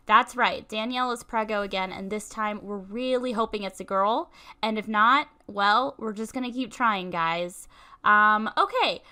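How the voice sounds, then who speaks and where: A woman's voice: medium pitch, unhurried, bright, forceful tone. A young woman with a congested voice, chatting casually with lots of ums as she narrates a life-sim game. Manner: chatty, many ums and likes. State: congested from a cold. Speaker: let's-play host.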